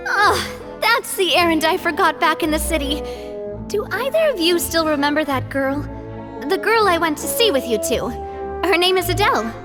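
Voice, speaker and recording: An actress playing a lively, talkative young woman who leads a group, in a game scene. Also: very high-pitched, normal speed. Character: cheerful young woman gang boss. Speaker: leader of a group. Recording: game audio with music behind.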